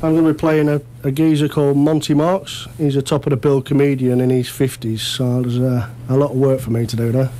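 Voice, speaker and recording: A man's voice: medium pitch, level tone, brisk, hardly any pauses, mellow. A man chatting casually as a radio interview guest, modest and self-deprecating. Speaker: amateur actor interview guest.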